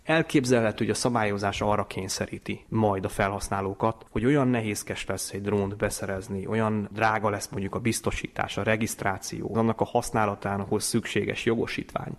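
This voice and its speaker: A man's voice: fairly low pitch, steady tone, hardly any pauses. A man speaking in an interview, explaining things at length in a conversational way.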